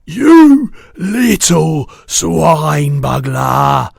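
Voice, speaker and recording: A man's voice: fairly high pitch, very animated, extremely slow, creaky, darkish tone, husky, dry recording, clean studio sound, pitched above the performer's own voice, full character voice. A man voicing a brutish, greedy, man-eating giant in a storybook, boastful and bullying, with mangled words. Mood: furious, disgusted.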